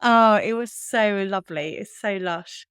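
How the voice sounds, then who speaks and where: A woman in her thirties with an English accent talks candidly on a podcast, laughing now and then. Her voice is smooth and clean. The sound is dry and close. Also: medium pitch, measured pace, a bit bright, dry recording. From Switzerland. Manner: chatty, candid, laughs often. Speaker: podcast co-host. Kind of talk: casual chat.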